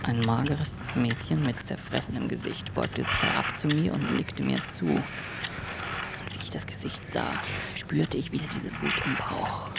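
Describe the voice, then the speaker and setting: A man playing a haunted, disoriented narrator of a surreal radio drama, gravelly and speaking in deliberate, broken phrases. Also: mid-range voice, wildly swooping pitch, full character voice. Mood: morbid, intense. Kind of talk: surreal first-person radio drama monologue. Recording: dull lo-fi recording, loud background noise.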